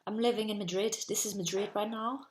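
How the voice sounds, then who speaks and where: A woman's voice: medium pitch, steady tone, quick pace, reverberant. Chatty 19-year-old Portuguese-accented woman. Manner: chatty, rambling, full of "like". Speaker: vlogger. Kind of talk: casual chat to camera. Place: her bedroom.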